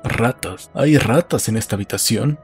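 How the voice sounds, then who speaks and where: A man playing an uneasy, wistful man in an audiobook, speaking in a slow, subdued way. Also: fairly low pitch, husky, faint music behind. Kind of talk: audiobook dialogue acting.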